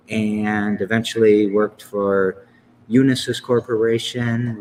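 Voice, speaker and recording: A man's voice: fairly low pitch, a little hiss or hum, rough recording. A man in his fifties from Michigan, hosting a casual interview and rambling through his life story with chuckles and self-corrections. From Lansing, Michigan. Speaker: podcast host.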